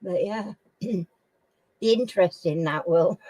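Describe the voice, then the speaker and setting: A middle-aged woman from Sheffield with a Sheffield accent, hosting a casual live chat with viewers in a slow, homely way and often clearing her throat. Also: on the low side, dark tone, dry recording, low-quality recording. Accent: Sheffield English. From Sheffield, England. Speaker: live stream host.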